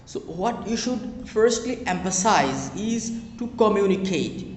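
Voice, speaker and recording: Young bangladeshi guy, bengali native, earnest english teacher, kinda high voice, roomy echo and faint hum behind. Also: expressive, takes it slowly. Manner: earnest, repeats phrases, hesitant fillers. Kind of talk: advice talk to learners. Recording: echoey room with long reverb.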